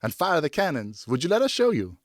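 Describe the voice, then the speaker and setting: A man's voice: fairly high pitch, sing-song, fairly quick, dry recording, clean studio sound, voice pushed up. A man voicing a defiant, argumentative young boy in an audiobook story. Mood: pleading, eager. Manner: argumentative, protesting. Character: indignant, defiant young boy, son of the narrator.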